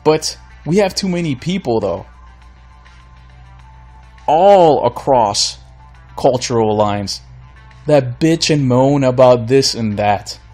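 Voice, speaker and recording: A man's voice: medium pitch, lively intonation, slow pace, pauses often, a little mellow, faint music behind. Ranting podcast man losing his voice. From Florida. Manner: blunt, ranting, exasperated. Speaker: podcast host. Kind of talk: solo podcast rant.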